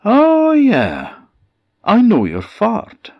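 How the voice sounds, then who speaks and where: A man's voice: sits high, huge pitch swings, extremely slow, gravelly, no room sound, pitched above the performer's own voice, heavily acted. A man voicing a cheery, proud little male fart creature, in a slightly dull recording. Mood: matter-of-fact. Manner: boastful, jolly.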